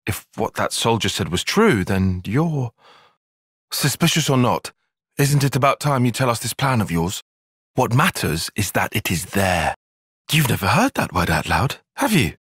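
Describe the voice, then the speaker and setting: A young tactician for a video game, dryly critical and analytical. His voice has a slightly husky edge and a lively, shifting pitch. The diction is precise and formal, and the sound is dry and close. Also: normal speed, dry recording, heavily acted. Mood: grave, analytical. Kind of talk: video game cutscene voice acting.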